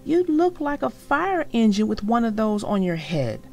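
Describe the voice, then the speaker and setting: A woman's voice: fairly high pitch, very animated, normal speed, mellow, soft background music. A woman voicing an emphatic, teasing young beaver in a children's storybook. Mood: scornful. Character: blunt, scornful young beaver.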